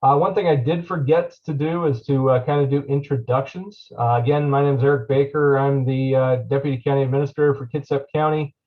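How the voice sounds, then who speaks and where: A man's voice: mid-range voice, fairly even intonation, a little fast, few pauses, narrow, tinny audio. Man presenting at a county meeting. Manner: frequent fillers, loose and conversational. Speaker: deputy county administrator.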